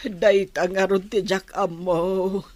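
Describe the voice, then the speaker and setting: A woman's voice: medium pitch, little pitch movement, takes it slowly, a little mellow, no echo, lightly acted. A woman acting a worried, sorrowful mother in an Ilocano audio drama, pleading as she speaks. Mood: worried, sad.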